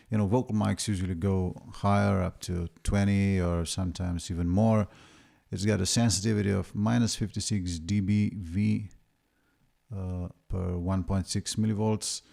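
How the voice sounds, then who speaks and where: A man talks through a product review in a relaxed, chatty way, often with filler words. His voice sits low and boomy. It has a gravelly edge. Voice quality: deep, boomy voice. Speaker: gear reviewer.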